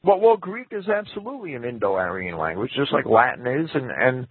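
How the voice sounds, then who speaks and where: A man's voice: mid-range voice, big pitch swings, fairly quick, slightly rough, no echo. A man guest on a radio talk show, explaining in a hesitant way with many restarts and careful caveats. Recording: phone-like radio audio.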